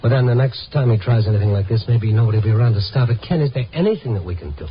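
A man's voice: mid-range voice, animated, rapid-fire, slight background noise, natural voice. An American man playing a weary, compassionate newspaper reporter in a 1950s radio drama, speaking plainly. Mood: concerned. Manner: world-weary, plain-spoken. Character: weary, compassionate male newspaper reporter, tough-talking night wanderer. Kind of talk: 1950s radio drama acting, first-person narration and dialogue. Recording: muffled vintage radio recording with music.

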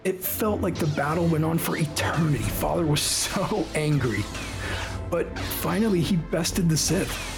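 A man acting in an audio drama as a grown son recounting a childhood memory in a measured, emotional way. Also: a little high, lively intonation, creaky, over music. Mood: reflective.

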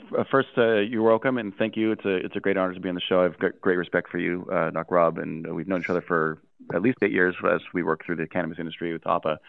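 A man's voice: low-pitched, gravelly, dry recording. A man speaking as an interview guest, blunt and frank, with many fillers. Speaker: guest, lab CEO. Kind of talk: casual interview chat. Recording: tinny phone line.

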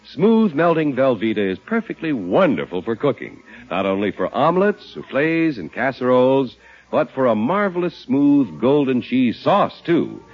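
A man's voice: medium pitch, big pitch swings, normal speed, husky. A man announcing in the style of a 1950s radio advertisement. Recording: muffled vintage 1950s radio recording.

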